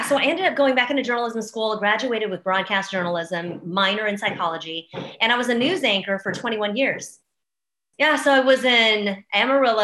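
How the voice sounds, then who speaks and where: A middle-aged woman chatting as an interview guest, an animated, expressive storyteller, recorded in an echoey room at home. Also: medium pitch. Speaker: podcast guest, real estate agent and former news anchor. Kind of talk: casual interview chat. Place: room in a new house.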